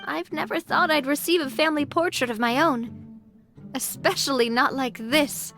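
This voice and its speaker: Young female gang president, high voice, sincere, self-conscious, soft game music behind. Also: normal speed. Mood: touched. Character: young woman, warm-hearted gang president. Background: game soundtrack music.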